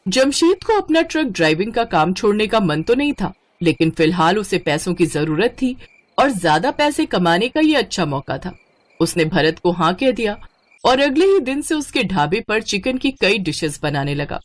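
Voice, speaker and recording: A woman's voice: mid-range voice, big pitch swings, moderate pace. A woman reading a scripted moral story as its narrator.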